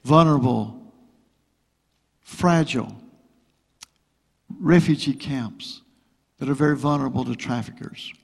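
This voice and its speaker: A middle-aged man preaching a sermon to a congregation, repeating phrases and building to loud, rising exhortation. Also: medium pitch, unhurried, creaky, pauses often, husky. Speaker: guest preacher. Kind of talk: pentecostal sermon with prayer. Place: church sanctuary. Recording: long reverb of a church hall.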